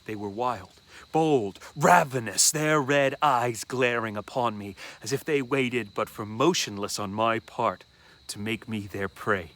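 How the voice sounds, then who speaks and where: A man reading a classic horror story aloud as dramatic first-person narration, deliberately and with dramatic pauses. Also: mid-range voice, fairly bright tone.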